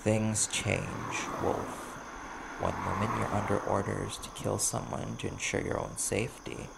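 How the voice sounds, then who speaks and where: A man playing a cautious, sardonic vampire bounty hunter in an audio roleplay, speaking in a gravelly voice with measured, dry delivery and pointed pauses. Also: lowish voice, level tone, heavy background noise. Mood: dry, bargaining. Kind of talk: audio roleplay, slow dramatic monologue to the listener.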